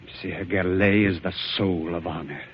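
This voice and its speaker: An actor playing an earnest, absent-minded scientist in a 1940s radio drama, hesitant and stammering, easily roused to indignation. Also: male voice, sits low, steady tone, moderate pace, breathy edge. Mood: disbelieving. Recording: dull lo-fi 1940s radio recording.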